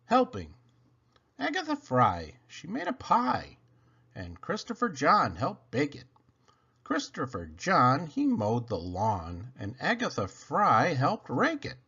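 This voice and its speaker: Husky man reading with very animated pitch. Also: highs cut off. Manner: expressive, playful, dramatic emphasis and pauses. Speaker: reader of children's poems for a read-aloud channel. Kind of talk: theatrical children's poetry read-aloud.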